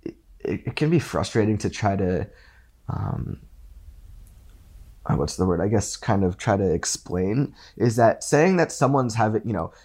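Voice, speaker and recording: A man's voice: fairly low pitch, darkish tone. A man guest on a sports podcast, still recovering from an illness and coughing now and then, talking in a rambling way with many restarts. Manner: rambling, full of fillers and restarts. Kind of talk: casual sports podcast chat.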